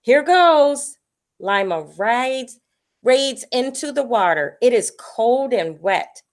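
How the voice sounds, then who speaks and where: A woman reading a picture book aloud to children in a deliberate storytelling manner. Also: a little high, expressive.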